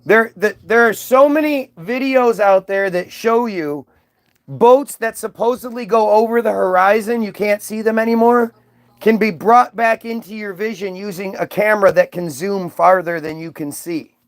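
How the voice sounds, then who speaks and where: A man's voice: high-pitched, normal speed, no echo. A man hosting a livestream, arguing heatedly with viewers in a mocking, combative way, swearing and restarting his sentences.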